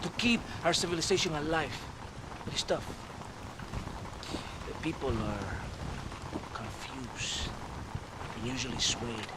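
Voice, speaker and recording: A man's voice: medium pitch, expressive, unhurried, pauses often, heavy background noise, lightly acted. A man playing a theatrical Mexican army captain in a video game, menacing yet jovial, with a Mexican accent. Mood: grave, lamenting. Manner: theatrical, swinging from mock threat to lament. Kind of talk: video game character acting.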